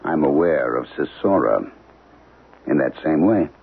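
A tinny old radio recording carries a haunted middle-aged professor. His voice is extremely low and husky, and he speaks in brooding, halting, terse phrases as a troubled man in a radio drama. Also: lively intonation, full character voice. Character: troubled, haunted archaeology professor, married man with grown children. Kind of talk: 1970s radio drama acting. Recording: tinny, narrow-band old radio broadcast.

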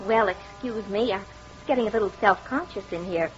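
An actress playing a gentle, refined young bride, affectionate yet uneasy, in an old-time radio drama. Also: female voice, mid-range voice, normal speed, lightly acted. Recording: muffled, noisy old radio broadcast.

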